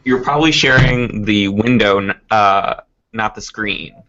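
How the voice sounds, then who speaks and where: A man's voice: fairly low pitch, a little slow, sharp tone. A man helping a remote presenter share his screen. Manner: helpful, explaining screen sharing. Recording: distant, dull call audio.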